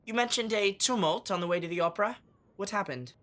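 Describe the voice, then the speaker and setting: A performer playing a quick, sharp-witted street boy who leads a gang of urchins and reasons things out briskly. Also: sits high. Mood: probing. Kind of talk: audio drama acting.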